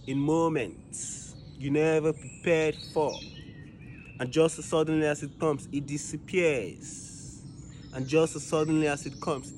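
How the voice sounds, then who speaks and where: A man performing a reflective, dramatic spoken-word monologue in a solemn, deliberate manner with long pauses. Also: a little high, a little hiss or hum.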